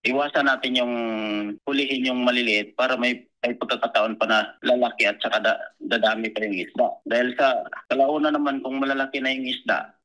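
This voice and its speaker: A man with a Filipino accent answering interview questions as a guest, in a halting, plain-spoken, polite way. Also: medium pitch, bright, forceful tone, low-quality recording. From Philippines. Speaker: commercial fisherman, interview guest.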